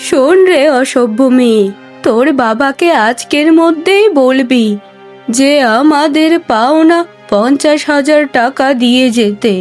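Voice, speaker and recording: A woman's voice: sits high, unhurried, faint music behind. A woman voicing a greedy, scolding middle-aged mother-in-law in a cartoon, with a commanding manner. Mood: angry, threatening. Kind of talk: Bengali cartoon voice acting.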